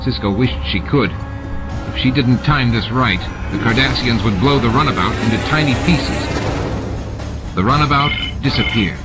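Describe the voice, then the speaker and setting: A man's voice: medium pitch, normal speed. A man reading a science-fiction novel as dramatic scripted narration, with sound effects added. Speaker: audiobook narrator. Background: music and sound effects.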